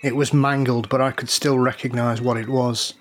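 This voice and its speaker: A man's voice: medium pitch, flat delivery, normal speed, slight background noise, natural voice. A man playing a grieving diver in an audio drama, blunt and full of guilt. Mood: tense.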